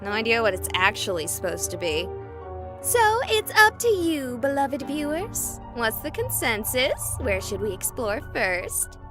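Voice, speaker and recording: A woman playing a goofy, excitable young livestreamer in a visual novel, chatting playfully with exaggerated enthusiasm. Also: fairly high pitch, a little slow, over music. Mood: goofy, chatty. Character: excitable, goofy young female livestreamer exploring haunted places.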